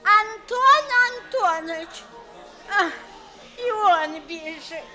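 An actress playing a querulous, half-deaf old countess grandmother in a radio play, halting and exclaiming, asking again loudly. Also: very high voice, animated, full character voice. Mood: agitated, querulous. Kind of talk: 1950s Russian radio stage acting. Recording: 1950s radio theatre recording, faint music.

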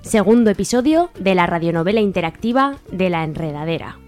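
A woman's voice: fairly low pitch, expressive, fairly quick, darkish tone, soft background music. A woman giving scripted narration for a radio serial.

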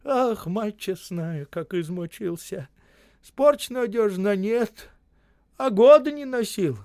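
Shrill, querulous old Russian peasant. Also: male voice, lively intonation, slow pace, a little mellow, no room sound, studio quality, exaggerated voice. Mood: weary, plaintive. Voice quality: shrill, breaking voice. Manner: wailing, whining, exclamatory folk speech. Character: wily old peasant Osip, querulous, shrill, then sheepish.

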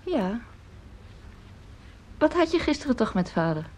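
An actress in a Dutch radio play playing a composed, gently sceptical middle-aged mother, speaking softly and knowingly. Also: a little high, big pitch swings, measured pace, a little hiss or hum. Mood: mildly probing.

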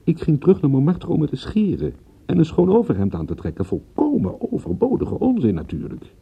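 Husky, gravelly private detective. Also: male voice, a little high, huge pitch swings, brisk, heavily acted. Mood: wry, agitated. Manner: musing aloud, self-questioning. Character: male private detective narrating a murder case, brooding, wry. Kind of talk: radio drama first-person narration. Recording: muffled lo-fi old radio recording.

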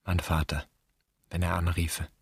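Very deep, husky, bitter grieving son. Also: male voice, flat delivery, a little slow, exaggerated voice. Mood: brooding. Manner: brooding, accusing. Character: bitter grieving adult son addressing his dead father. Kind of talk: literary radio drama monologue.

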